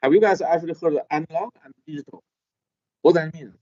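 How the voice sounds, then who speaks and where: A middle-aged man lecturing a class, with a halting manner and constant "okay?" checks. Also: on the high side, mellow. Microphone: video call. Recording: dull lo-fi video call audio.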